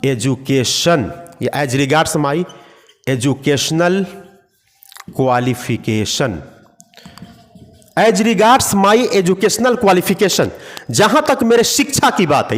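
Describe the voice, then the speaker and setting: A man teaching English phrases in a classroom lesson, slowly repeating them word by word. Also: high voice, in a large hall.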